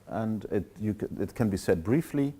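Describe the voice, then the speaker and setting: A man with a low, mellow voice fields questions after his lecture. He talks thoughtfully and pauses to find the right words. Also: animated, slightly rough. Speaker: guest speaker.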